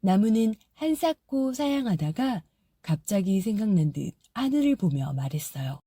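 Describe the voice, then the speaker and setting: A woman narrating a fairy tale audiobook in a soft, gentle storytelling manner. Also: mid-range voice, very animated, normal speed, breathy edge, dry recording.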